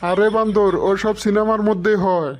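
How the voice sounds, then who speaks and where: A man's voice: high voice, fairly even intonation, normal speed, slight background noise, close to an everyday voice. A man voicing an impatient, boastful schoolteacher who scolds and quizzes pupils in a comedy cartoon. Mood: exasperated scolding. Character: exasperated bossy male schoolteacher quizzing pupils.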